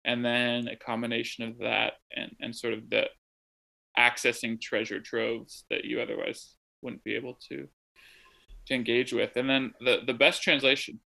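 A young American man from the Midwest, speaking as a guest over a remote connection from home. His voice has a gravelly, creaky edge with a bright, forward tone. He thinks aloud, circling back with ums and restarts. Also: medium pitch, level tone, moderate pace, rough recording. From Midwest of the United States. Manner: thoughtful, rambling with many ums and restarts. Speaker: interview guest, translator. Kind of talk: casual conversational interview. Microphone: remote call from home.

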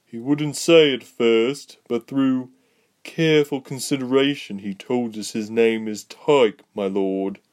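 A man's voice: mid-range voice, slow pace, darkish tone, voice pushed up, close to an everyday voice. A man voicing a dutiful guard in an audiobook, reporting deferentially and emphatically to his lord. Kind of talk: audiobook character voice.

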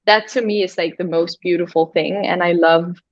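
Danish-born woman chatting, lowish voice, lifts her pitch on words she cares about, kinda dull lo-fi audio. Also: normal speed, no room sound. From Denmark. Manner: animated and expressive, pitch rising on key words. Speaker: guest. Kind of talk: casual conversation. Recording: slightly dull lo-fi sound.

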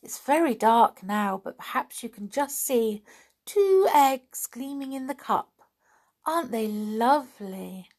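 Storybook songbird, gentle and kind, very high and lilting, slow; a woman puts it on. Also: expressive, exaggerated voice. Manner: sing-song, repeats words in threes. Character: kind gentle female thrush, small songbird.

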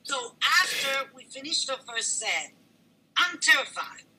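An older woman, a singer, telling a story in an interview with lively, dramatic delivery and acted-out quotes. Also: high-pitched, a little slow, crowd noise. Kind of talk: storytelling in a conversation.

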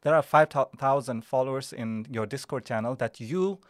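A man hosting a podcast interview, introducing his guest in a hesitant way with many pauses. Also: mid-range voice, dry recording, studio quality. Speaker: podcast host.

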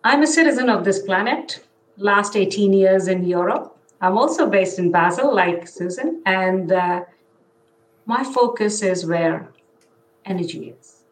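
A woman, a corporate talent executive, introducing herself in an earnest, measured, polished way. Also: medium pitch, lively intonation, echoey room, poor audio.

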